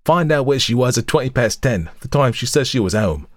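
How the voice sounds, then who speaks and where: A man's voice: mid-range voice, animated, a little fast, no echo, studio quality, voice pushed up, lightly acted. A man reading aloud, voicing a scarred, cunning old woman with a wheezing, wheedling voice. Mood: sly. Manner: leering, wheedling, calls everyone dearie.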